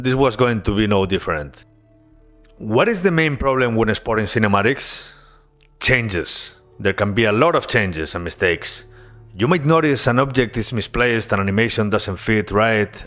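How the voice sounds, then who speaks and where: A man narrating his game-development devlog as a voice-over, earnest and relaxed, with casual asides. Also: on the low side.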